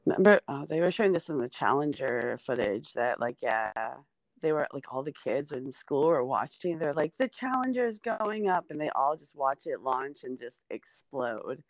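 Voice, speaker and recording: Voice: medium pitch, sing-song, normal speed, no echo. A comedian in their forties, guesting on a podcast in casual, rambling chat, full of fillers. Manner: rambling, filler-heavy, chatty.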